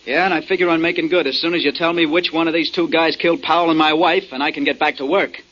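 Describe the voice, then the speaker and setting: Fast-talking defiant pilot. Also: male voice, fairly high pitch, steady tone, fairly bright tone. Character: Joe, defiant, impatient male pilot and business partner. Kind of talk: 1940s radio detective drama acting. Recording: dull lo-fi 1940s radio recording.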